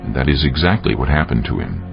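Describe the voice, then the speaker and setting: Male narrator, dramatic scripture reading. Extremely low, animated delivery, slightly dull recording. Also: quick pace, creaky, over music. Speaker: narrator of a dramatized Bible audiobook. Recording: dull, muffled audio.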